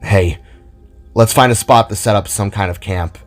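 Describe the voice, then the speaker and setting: A practical male warrior in a fantasy story, as a man reads him. His voice is fairly low and his talk is plain and casual, over faint music. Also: moderate pace, lightly acted. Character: curious, practical fantasy warrior, a younger brother.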